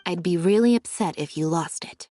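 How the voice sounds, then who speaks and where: Dry, calm young woman voiced by a woman. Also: lowish voice, normal speed, natural voice. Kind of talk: video game voice acting.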